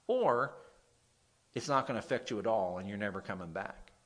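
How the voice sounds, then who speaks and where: A man with a nasal voice preaching a sermon, reading scripture aloud and swinging between loud emphasis and quiet asides, with an occasional stutter. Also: on the low side, animated, moderate pace. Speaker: pastor.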